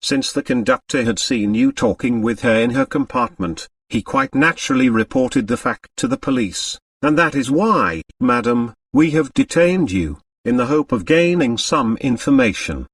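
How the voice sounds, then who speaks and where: A man's voice: mid-range voice, expressive, normal speed, hardly any pauses, no room sound, recorded from a distance. A man voicing a pompous, self-important French police commissary, formal and officiously courteous, in an audiobook. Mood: grave, insistent.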